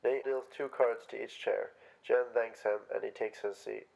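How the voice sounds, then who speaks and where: A man's voice: medium pitch, fairly even intonation, normal speed, vocal fry, a little mellow, poor audio. A man reading stage directions as scripted narration for a play.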